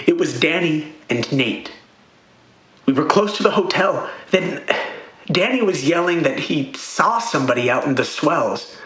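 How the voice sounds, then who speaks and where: An actor playing a frightened musician who haltingly recounts a horror under questioning in an audio play. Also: male voice, a little high, a bit bright. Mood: uneasy, haunted. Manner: halting, repeats phrases. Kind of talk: dramatic audio play monologue. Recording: roomy echo, faint background noise.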